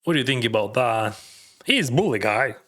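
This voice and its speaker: A Ukrainian-accented man whose first language is Russian, talking through poker hands live for his chat. His voice is bright and forward, his pitch sits mid-range, and he rambles along in easy, filler-heavy advice. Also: expressive, moderate pace. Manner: chatty, advising, heavy use of "like" and "uh". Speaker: poker streamer. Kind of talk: casual live-stream commentary, answering chat.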